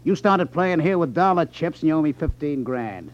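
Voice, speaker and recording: A man acts a menacing club racketeer in old radio crime drama style. His voice is a little high and swings widely in pitch as he taunts and sneers. The recording is dull and lo-fi. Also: lively intonation, moderate pace, lightly acted. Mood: coolly persuasive. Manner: sneering, taunting, hesitates slyly for effect. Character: tough, menacing gambling club boss, a sly racketeer.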